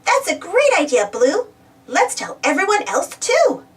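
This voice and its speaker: A woman's voice: very high-pitched, wildly swooping pitch, a little slow, far from the mic, voice pushed up, full character voice. A woman voicing a friendly, enthusiastic young female puppy, sweet and admiring, in a storybook.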